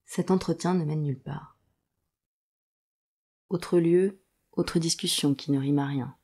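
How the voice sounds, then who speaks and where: A woman's voice: low voice, measured pace, warm tone, breathy edge, heavily acted. A woman acting as a dry, sardonic storyteller, narrating a noir audio drama. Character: wry, detached storyteller of a noir tale.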